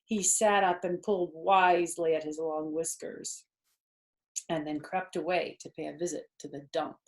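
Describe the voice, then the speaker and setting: A woman's voice: deep voice, steady tone, normal speed, poor audio. A woman storyteller reading a children's book aloud expressively, with chatty asides to young listeners.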